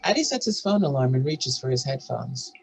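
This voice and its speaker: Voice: mid-range voice, lively intonation, fairly quick, creaky, breathy edge. A narrator reading out stage directions and scene headings in a scripted table read.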